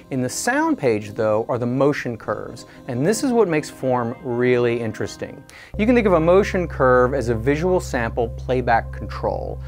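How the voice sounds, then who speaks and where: A man narrating a scripted product review in a clear, explanatory way. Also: mid-range voice.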